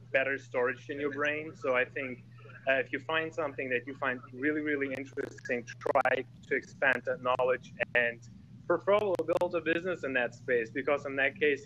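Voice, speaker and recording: A man who grew up in Germany, a guest in a casual online group chat, speaking thoughtfully with many pauses and fillers over a video call. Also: medium pitch, sharp tone, muffled recording, a little hiss or hum.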